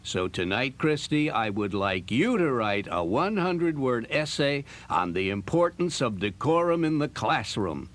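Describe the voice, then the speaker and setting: A man's voice: mid-range voice, big pitch swings. A man playing a stuffy, stern, formal schoolteacher in a children's audio drama. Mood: stern, pompous. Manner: pompous, deliberate, formal. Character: stuffy, stern male schoolteacher lecturing his class.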